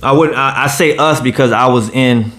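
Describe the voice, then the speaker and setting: A man's voice: mid-range voice, moderate pace, fairly bright tone. A man in his late thirties giving blunt, profane, indignant reaction commentary. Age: 30s. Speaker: commentary vlogger.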